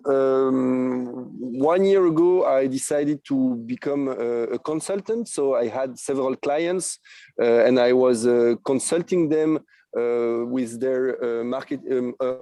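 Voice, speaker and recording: Podcast guest: French man in his thirties, heavy accent, mellow warm voice, halting and eager, dry close sound. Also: medium pitch, no room sound. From France. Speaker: guest, NFT project creator. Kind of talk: podcast interview.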